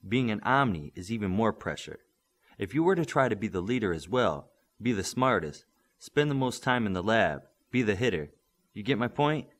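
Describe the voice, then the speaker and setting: A man's voice: lowish voice, sing-song, normal speed. A man playing a patient, firm superhero mentor in an audiobook, coaching and instructing steadily. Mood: instructive.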